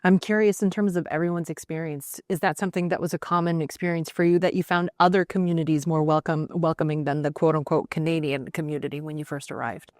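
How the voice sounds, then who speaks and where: A woman's voice: deep voice, steady tone, fast talker, hardly any pauses, a little mellow, no echo, clean studio sound. A woman moderating a roundtable panel, encouraging and asking follow-up questions.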